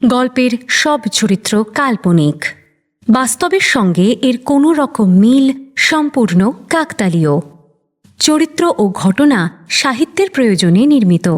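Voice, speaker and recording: A woman presenting a radio story in a scripted announcer's delivery. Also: a little high.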